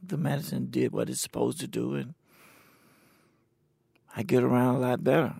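A man in his sixties, talking plainly and haltingly about his own experience in a recorded conversation. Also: mid-range voice, fairly even intonation, dark tone, dry recording, studio quality. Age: 60s. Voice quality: gravelly, husky. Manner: plain, halting, unhurried storytelling. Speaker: interviewee. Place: indoors at a cultural center.

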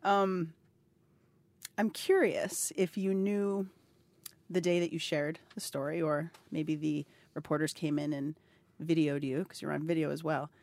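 A woman in her thirties asks warm, curious follow-up questions in a conversational interview. She sounds encouraging and engaged. The recording is dry and close. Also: low voice, fairly even intonation, a little slow, no echo. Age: 30s. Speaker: interviewer, health advocate. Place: indoors at a cultural center.

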